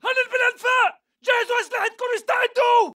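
Urgent high-pitched Levantine militant man. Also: steady tone, quick pace, pushed and bright, raised voice. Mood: menacing, shouting. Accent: Levantine Arabic. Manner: urgent, clipped. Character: aggressive male militant fighter on alert. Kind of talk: video game battle chatter.

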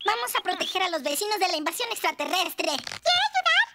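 Eager little cartoon bird child, extremely high, lively, excitable. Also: fairly quick, very noisy, exaggerated voice. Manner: earnest, excitable. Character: eager, bold little woodpecker child playing space hero. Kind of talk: Spanish-dubbed cartoon acting. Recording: loud children's cartoon music behind.

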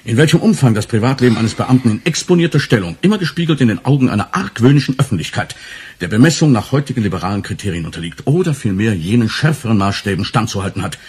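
A cool pedantic police boss in a radio play, low and really husky, talks in long official sentences. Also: male voice, expressive, a little mellow. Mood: cool, reproving. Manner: measured, bureaucratic, long formal sentences. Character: stern, formal senior police superior, male, pedantic and cool. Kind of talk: German radio crime drama acting.

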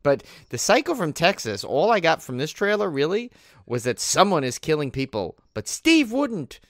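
A man's voice: a little high, normal speed, no room sound, studio quality. A man co-hosting a casual podcast on horror releases, rambling and joking. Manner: rambling, jokey, lively. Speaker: podcast co-host.

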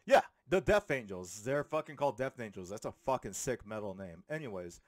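A man in his thirties giving a rambling, animated, sweary film review in a casual comedic style. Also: fairly low pitch, fairly quick, no echo. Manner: animated, sweary, uses slang. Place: small room at home. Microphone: handheld microphone held close to the mouth.

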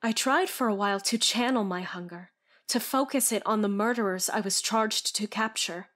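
A woman's voice: medium pitch, moderate pace, fairly bright tone, lightly acted. A woman playing an anguished vampire, a former FBI agent, confiding her curse as scripted gothic narration. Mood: grim, regretful.

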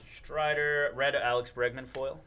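A man's voice: medium pitch, flat delivery, a little slow, pushed and bright, clear, resonant tone. A young man hosting a live card break, rattling off player names and chatting in a studio. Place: studio with table, indoors.

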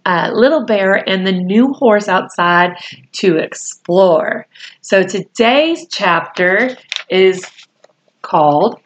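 A woman in her forties reading a storybook aloud to children, deliberate and pausing phrase by phrase, with explanatory asides. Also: medium pitch. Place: small room at home, indoors.

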